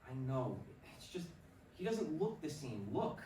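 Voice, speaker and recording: A man's voice: on the high side, big pitch swings, warm tone. Man playing a brooding ballplayer, roomy sound. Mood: troubled, insistent. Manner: brooding, halting. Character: troubled, earnest male baseball player and husband. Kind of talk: staged play reading. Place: performance room, recorded from a distance. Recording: roomy, distant mic, faint noise.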